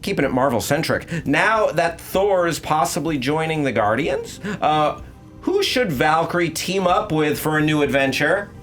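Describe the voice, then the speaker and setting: A man's voice: fairly high pitch, animated, normal speed, fairly bright tone, faint music behind. A man moderating a debate show in a casual, off-the-cuff game-show style.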